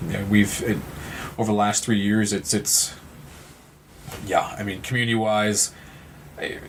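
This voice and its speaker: A man speaking as a guest in a conversational interview, halting and often repeating words and restarting. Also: fairly low pitch, little pitch movement, a little hiss or hum. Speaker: guest from a suicide-loss nonprofit.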